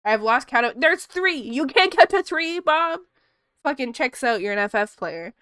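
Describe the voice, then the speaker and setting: Woman yapping on her art stream, soothing smooth voice, kinda high, laughs a lot. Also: animated, moderate pace, a bit bright, no echo, studio quality. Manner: rambling and chatty, laughs often. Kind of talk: casual chat while drawing on stream.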